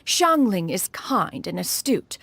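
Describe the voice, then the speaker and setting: A woman's voice: medium pitch, very animated, slow, vocal fry, a bit bright, dry recording, heavily acted. A woman voicing a proud, aloof immortal master in a video game, speaking in formal, archaic and pompous phrases. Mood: stately. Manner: formal, archaic, pompous, calls herself "one". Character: dignified crane adeptus master in human guise, aloof, proud. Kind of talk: scripted game dialogue.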